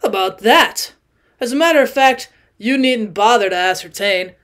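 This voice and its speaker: A woman voicing a stout, drunk middle-aged man who talks loudly and emphatically and keeps repeating himself. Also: a little high, lively intonation, moderate pace. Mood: excited drunk. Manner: loud, emphatic, rambling repetition.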